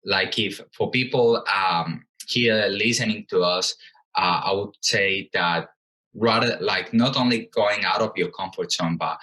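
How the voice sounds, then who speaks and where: A very low-pitched man from Colombia, Spanish his first language, speaking with a bright, forceful edge. He answers interview questions in a thoughtful, halting way, pausing on fillers and starting phrases over. He sounds like a guest in his thirties. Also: low-quality recording. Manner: thoughtful, hesitant with many fillers and restarts. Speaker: guest, company co-founder.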